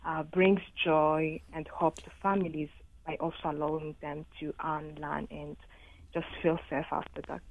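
A woman's voice: low voice, level tone, normal speed, dry recording. A young woman, answering interview questions earnestly, with many "uh" and "you know" fillers. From Rwanda. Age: 20s. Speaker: young entrepreneur guest. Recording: tinny phone line with faint noise.

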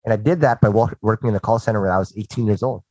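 A man from the Philippines who speaks with an American-sounding accent he learned at a call center, hosting a casual interview with emphatic, animated delivery. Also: lowish voice, racing, no room sound, rough recording. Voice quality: animated, emphatic, laughs often. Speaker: podcast host.

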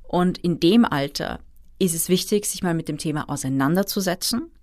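Low-voiced woman explaining finance. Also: normal speed, darkish tone, dry recording, clean studio sound. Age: 30s. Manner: explanatory, methodical. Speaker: finance expert guest. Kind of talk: interview answers.

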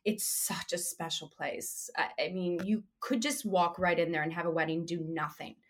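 A woman speaking as an interview guest, relaxed and chatty, with a hoarse voice. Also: lowish voice, normal speed. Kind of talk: casual interview chat.

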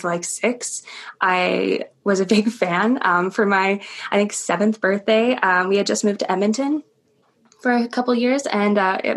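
A woman's voice: medium pitch, gravelly, fairly bright tone. A young adult woman chatting as an interview guest, talking freely with frequent small laughs and filler words. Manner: chatty, laughs often, many ums. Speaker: interview guest, stage performer. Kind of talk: casual interview chat.

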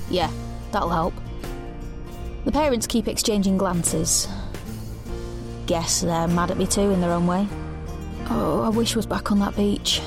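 An actress playing a defiant, protective teenage sister, blunt and sardonic, in an audio drama. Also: female voice, medium pitch, unhurried, gravelly, pauses often. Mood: sullen, resigned. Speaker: lead actor and narrator. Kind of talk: audio drama narration and dialogue. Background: background music.

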